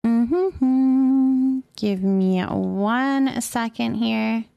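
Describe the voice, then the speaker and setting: A woman hosting a casual livestream, chatting with viewers in a gentle, affectionate way and often repeating her phrases softly. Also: fairly high pitch, extremely slow, gravelly, highs cut off. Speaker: livestream host. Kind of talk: casual livestream chat, thanking viewers and reading their messages aloud.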